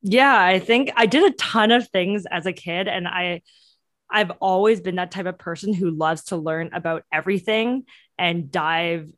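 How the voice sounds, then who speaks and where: A woman's voice: fairly low pitch, moderate pace, fairly bright tone, dry recording. A young woman in her twenties whose first language is Cantonese, telling her story eagerly in a podcast interview with many restarts. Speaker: entrepreneur guest.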